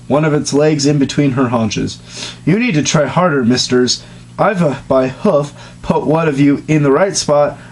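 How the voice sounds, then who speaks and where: A man giving a comedic dramatic reading of a written story, mostly deadpan and now and then cracking into high laughter. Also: poor audio. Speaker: dramatic reader.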